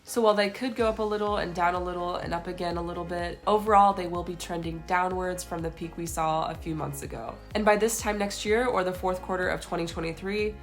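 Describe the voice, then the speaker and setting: A woman's voice: lowish voice, steady tone, a little fast, hardly any pauses. A young woman, a real estate agent, giving a scripted market update to camera, her voice nasal from a cold. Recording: faint music behind.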